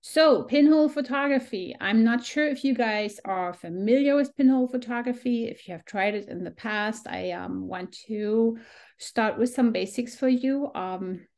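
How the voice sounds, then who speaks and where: A woman's voice: fairly high pitch, normal speed, darkish tone. A middle-aged woman from Germany with a German accent, giving a chatty, enthusiastic photography lesson on a video call with frequent ums. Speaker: photography workshop teacher. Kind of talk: live online presentation to a small group. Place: home room on a video call. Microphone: video call computer mic.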